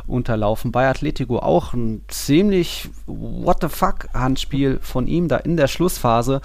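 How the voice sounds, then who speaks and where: A man's voice: medium pitch, lively intonation, measured pace, vocal fry, no room sound. A man co-hosting a podcast, talking sport in a casual, colloquial and slangy way. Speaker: football podcast co-host.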